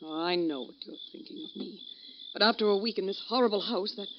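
A scheming, coy young nurse, acted by a woman from a vintage radio mystery. She is breathless and halting, playing at helplessness. The old recording is muffled and noisy. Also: mid-range voice, lightly acted. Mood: coaxing, plaintive. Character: coy, scheming nurse feigning fright, femme fatale. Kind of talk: 1940s radio detective drama acting.

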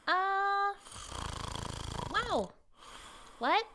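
A woman in an audio drama playing an earnest, excitable, kindhearted young female spirit companion, perky and informal. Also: very high-pitched, drawn-out words, noisy background, full character voice. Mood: startled.

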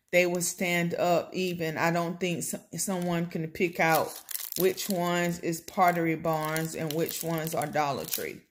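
Home vlogger, American woman, relaxed chatty delivery, slow and low, phone camera. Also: slight background noise. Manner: chatty, unhurried, many ums and self-corrections. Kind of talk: casual product comparison vlog. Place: home table, indoors.

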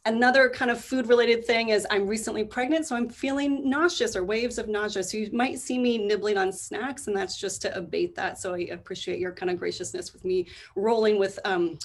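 A woman in her thirties from Seattle speaks from her living room over a video call. She introduces herself in a friendly, chatty way, at a middling pitch. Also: fairly even intonation, fairly quick, few pauses. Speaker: panel guest. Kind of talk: casual introduction.

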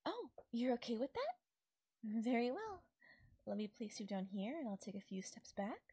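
A woman voicing a doting, possessive yandere fairy in an intimate audio roleplay, coaxing and softly teasing. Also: fairly high pitch, very animated, normal speed, slightly rough, no echo, studio quality, pitched above the performer's own voice. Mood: tender, possessive. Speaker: voice actor. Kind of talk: intimate audio roleplay, ASMR-style acting.

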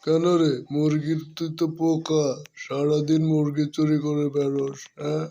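A man's voice: a little high, completely flat delivery, normal speed, creaky, mellow, dull audio. A man voicing a proud, exasperated elder fox brother in a cartoon, scolding and lamenting. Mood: angry scolding. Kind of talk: cartoon voice acting.

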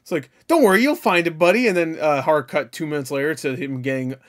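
A man giving casual, profane, joking reaction commentary in an animated way. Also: a little high, quick pace. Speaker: reaction video host. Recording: game audio playing behind voice. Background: video game dialogue and music.

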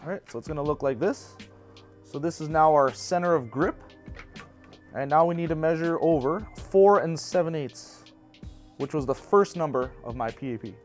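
Shop instructor, man in his 30s, lapel mic, easygoing practical delivery, light pitch. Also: normal speed, faint music behind. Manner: relaxed, practical, personal asides. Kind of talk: casual how-to explanation. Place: bowling pro shop indoors.

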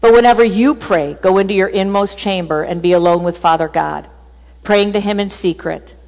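Middle-aged woman pastor, sermon, casual and animated, mid pitch, long church hall reverb. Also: normal speed. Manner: chatty, animated, laughs at herself. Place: church stage with band gear.

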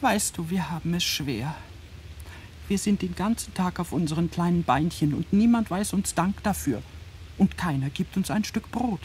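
A woman's voice: lowish voice, expressive, moderate pace, darkish tone, slight background noise, close to an everyday voice. A woman in a German radio play, playing a tiny kindly king of a single day, confiding and solemn in storybook fashion. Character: tiny kindly February day-king, youngest of twenty-eight brothers.

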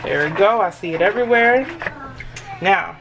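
A woman's voice: mid-range voice, moderate pace. Woman vlogger, casual kitchen walkthrough, reassuring and chatty, camera mic, dishes clinking behind. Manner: chatty, reassuring, addresses viewers as y'all. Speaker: home cooking vlogger. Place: home kitchen. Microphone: camera mic across the kitchen. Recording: noisy home kitchen recording. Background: clinking dishes and cutlery.

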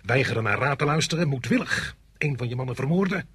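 An actor playing a bitter common soldier in a radio play, hurling emphatic, clipped accusations. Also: male voice, medium pitch, expressive, quick pace, fairly bright tone, slightly rough, no echo. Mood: bitter, accusing. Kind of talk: Dutch radio play acting.

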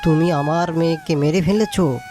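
A woman voicing a jackal husband and father who speaks in a measured, gentle way. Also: low voice, music in the background, natural voice. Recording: dry close voice.

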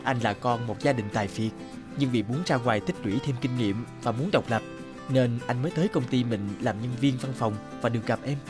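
Casting: male actor as anxious young cartoon hero, mid-pitched, earnest, faint music behind. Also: brisk, natural voice. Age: young adult. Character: earnest, anxious young man, secretly rich suitor. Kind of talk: cartoon voice acting.

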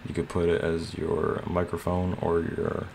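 Very deep, flat-voiced man, gravelly. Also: measured pace. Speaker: tutorial host. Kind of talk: casual screen tutorial narration. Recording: clear background noise.

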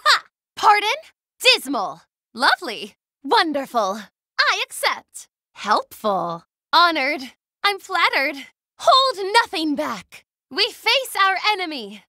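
High-pitched, haughty young noblewoman. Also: wildly swooping pitch, slow pace, bright, forceful tone, no echo, studio quality, exaggerated voice. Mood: haughty, theatrical. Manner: formal, lofty, ornate diction. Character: haughty, theatrical young noblewoman mage, grandly proud. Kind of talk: video game battle voice clips.

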